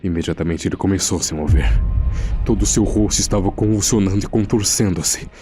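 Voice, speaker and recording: A man's voice: low voice, a little fast, warm tone, soft background music, lightly acted. A man playing an uneasy morgue assistant, narrating a horror audio drama in a grave, suspenseful manner. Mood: tense. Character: uneasy male morgue assistant recounting a frightening secret. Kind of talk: scripted first-person horror narration.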